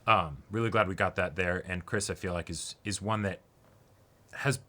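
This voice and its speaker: A man's voice: low-pitched, moderate pace, pushed and bright. A man co-hosting a casual discussion, talking enthusiastically in long sentences and often checking in with his listener. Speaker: co-host of anime reaction and discussion show.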